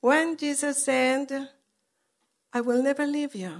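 Female preacher, non-native English speaker, fairly high voice. Emphatic, simple phrases, pausing between them. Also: fairly even intonation. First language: not English. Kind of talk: sermon with pauses for an interpreter.

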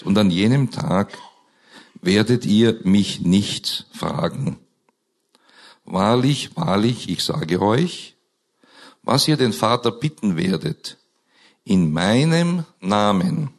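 A hoarse man with a scratchy voice, preaching a sermon in a slow, earnest way with emphatic rises, opening in prayer and reading scripture into a microphone. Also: lowish voice. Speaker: pastor.

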